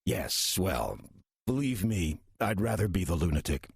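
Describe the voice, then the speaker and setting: An actor playing a weary, sardonic demon posing as a church deacon in an audio drama, dry, urbane and condescending. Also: male voice, big pitch swings, unhurried, no room sound, heavily acted. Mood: patient, wry. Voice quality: husky, low voice. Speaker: narrator and lead character of audio drama. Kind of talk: audio horror-comedy drama acting.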